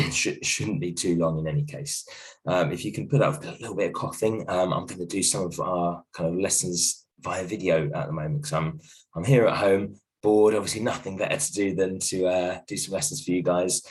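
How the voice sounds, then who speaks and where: Man, croaky sick voice, very low pitch, gentle reassuring teacher, recorded lesson. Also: poor audio. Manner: gentle, reassuring, many ums and restarts. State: croaky voice from a cold, coughing. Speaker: primary school teacher. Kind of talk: recorded video lesson for a class. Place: at home.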